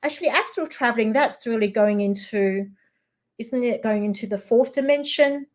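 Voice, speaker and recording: A middle-aged woman from Singapore chats in a fairly high voice. She stops and restarts often, filling the gaps with small fillers. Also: normal speed, darkish tone. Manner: hesitant, many fillers and restarts. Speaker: guest. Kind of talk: casual chat.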